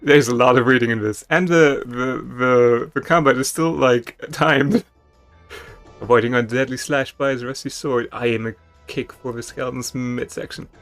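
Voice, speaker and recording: A man's voice: medium pitch, moderate pace, fairly bright tone, soft background music. A man playing a video game, reading its text aloud dramatically with stumbles and casual commentary. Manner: theatrical, stumbles over written text. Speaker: video game player and streamer.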